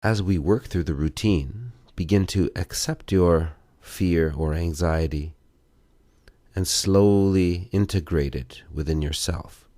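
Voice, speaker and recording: A man giving guided meditation and self-massage instructions in a measured way, pausing between short phrases. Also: low voice, warm tone, no echo, clean studio sound.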